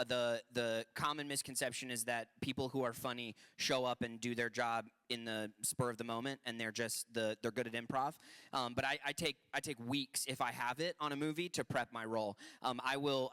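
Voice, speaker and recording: A man's voice: medium pitch, bright, forceful tone, clean tone. A man in his twenties, an actor, talking upbeat and at length on a panel, often restarting his sentences. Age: 20s. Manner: upbeat, talkative, many restarts. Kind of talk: panel talk.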